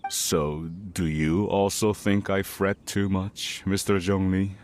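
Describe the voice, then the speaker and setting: A man's voice: deep voice, fairly even intonation, takes it slowly, darkish tone, a little hiss or hum. A man playing a worried, stubborn middle-aged merchant father in a video game, speaking in an earnest, weary, hesitant way. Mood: humbled, polite. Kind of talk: scripted video game dialogue.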